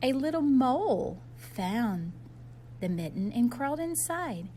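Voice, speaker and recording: A woman's voice: a little high, very animated, slow, dark tone, slight background noise. A woman reading a picture book aloud like a teacher, expressively and with simple asides to young children.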